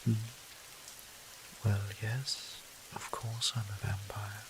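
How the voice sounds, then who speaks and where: Low, gentle vampire priest played by a man. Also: little pitch movement, takes it slowly, noisy background. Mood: worried, reassuring. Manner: formal, old-fashioned, solicitous, softly breathy phrasing. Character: gentle, doting, courteous male vampire priest healer. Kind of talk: scripted roleplay audio drama, addressing the listener.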